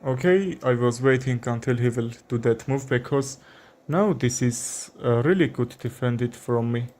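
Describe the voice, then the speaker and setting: Slow-talking Armenian-accented man. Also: mid-range voice, darkish tone. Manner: unhurried, thinking aloud with many fillers and pauses. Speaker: chess streamer. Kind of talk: live commentary while playing chess.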